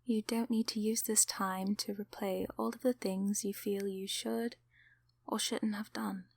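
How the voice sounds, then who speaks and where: A woman voicing a kindly sleep paralysis demon in an ASMR roleplay, encouraging and affectionate in a soft, soothing, often whispered delivery. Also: medium pitch, flat delivery, unhurried, gravelly, slightly rough. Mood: soothing, reassuring.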